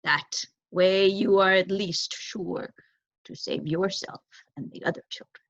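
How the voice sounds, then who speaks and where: Woman reading as a solemn blunt owl gentleman, very proper and measured, storybook style, dull dry audio. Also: mid-range voice, fairly even intonation, no room sound, close to an everyday voice. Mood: grave, dismissive. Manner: formal, measured, pronouncing. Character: grave, formal old male owl, certain and blunt. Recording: dull, lo-fi close recording.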